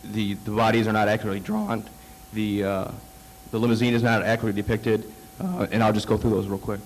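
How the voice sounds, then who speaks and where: A man presenting slides at a conference, halting with many fillers and restarts, fighting off a cold. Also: lowish voice, flat delivery, a little hiss or hum, poor audio. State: coming down with a cold. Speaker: conference speaker. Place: conference hall.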